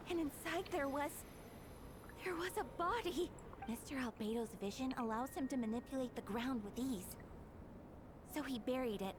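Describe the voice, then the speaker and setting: A woman's voice: sits high, noisy background. A woman voicing a frightened young townswoman in a video game, speaking earnestly and hesitantly. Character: frightened young townswoman giving witness testimony.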